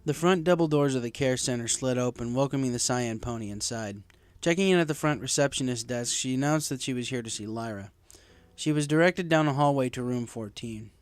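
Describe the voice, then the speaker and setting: A man's voice: medium pitch, no echo. A man reading a story aloud as scripted narration. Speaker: fan fiction narrator.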